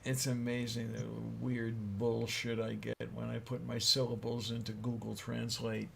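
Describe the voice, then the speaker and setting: A man's voice: mid-range voice, completely flat delivery, a little slow, vocal fry, a bit bright, a little hiss or hum. A man co-hosting a casual chat, joking and rambling with lots of fillers. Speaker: podcast co-host.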